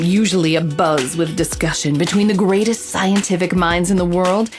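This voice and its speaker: An actress playing an investigative reporter, narrating a scripted audio drama with measured, descriptive storytelling. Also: female voice, on the low side, a little mellow, natural voice. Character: female reporter narrating her investigative holocast.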